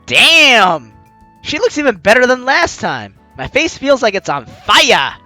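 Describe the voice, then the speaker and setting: An animated let's player hosts a game playthrough in a mid-pitched voice that swings freely. The delivery is jokey and teasing, moving between reading narration and commenting. The sound is dry, with clear music underneath. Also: measured pace, dry recording. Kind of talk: let's play commentary and read-aloud narration.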